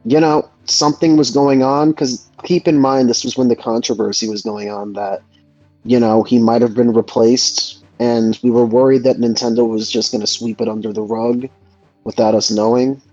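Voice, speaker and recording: A man chats casually and reflectively, feeling for his words as he goes. His medium-pitched voice is recorded dry and a bit muffled, with music playing underneath. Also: fairly even intonation, moderate pace, warm tone, no room sound. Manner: hesitant, reflective, searching for words. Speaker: host of a gaming discussion. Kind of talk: casual discussion. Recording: dull lo-fi mic, music underneath. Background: background music.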